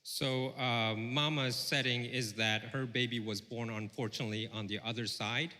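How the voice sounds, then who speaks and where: A man with a bright, forward voice interprets live for a stage audience. He is halting and rephrases as he goes, and the hall adds a long reverb. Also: mid-range voice, fairly even intonation. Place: large presentation stage.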